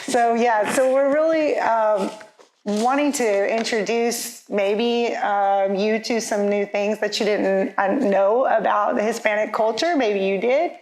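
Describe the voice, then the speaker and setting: A woman's voice: medium pitch, takes it slowly, a little mellow. A middle-aged woman, a teacher, casually moderating a school panel with many hesitant fillers. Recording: echoey room.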